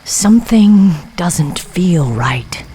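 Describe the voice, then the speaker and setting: A woman's voice: lowish voice, big pitch swings, extremely slow, mellow, slight background noise, exaggerated voice. A woman playing a stern, hard-nosed elf warden in a fantasy game, with a curt, cold military manner. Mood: guarded. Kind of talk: dramatic fantasy game acting.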